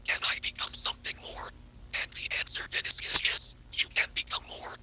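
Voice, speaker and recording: A man voicing a sinister, godlike cat entity that is a rogue artificial intelligence, in a menacing, repetitive, breathy whisper for analog horror. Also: brisk, lightly acted. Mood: eerie, grandiose.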